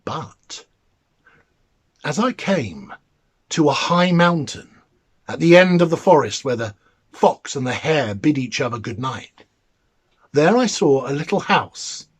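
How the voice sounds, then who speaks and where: A man voicing a royal messenger in a fairy tale, reporting in a halting, measured way. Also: fairly high pitch, expressive, breathy edge, full character voice. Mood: earnest, mysterious. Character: royal messenger recounting a strange sighting.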